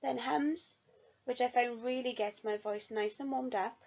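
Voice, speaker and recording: A woman's voice: fairly high pitch, steady tone, unhurried, creaky, a little mellow. A young woman, a music student, reading an earnest reflection on her year of singing study. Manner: earnest, read-aloud delivery. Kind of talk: reflective spoken self-review. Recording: dull lo-fi recording.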